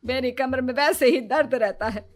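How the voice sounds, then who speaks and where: A woman's voice: sits high, moderate pace, lightly acted. A woman voicing a quarrelsome, dramatic older mother in a cartoon, scolding and self-pitying. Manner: scolding, melodramatic. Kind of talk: Hindi cartoon dialogue.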